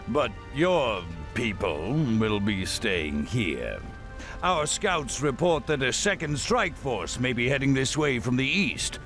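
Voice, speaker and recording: An actor playing an arrogant, bigoted human grand marshal in a video game cutscene, giving clipped, contemptuous orders. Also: male voice, medium pitch, fairly bright tone. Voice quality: haughty, clipped commands, contemptuous. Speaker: military commander.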